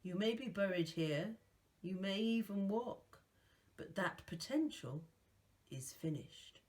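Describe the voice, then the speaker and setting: A woman reading aloud voicing a calm, ageless male guardian who is not alive, with a soft, velvety voice and a grave, measured way of talking. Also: mid-range voice.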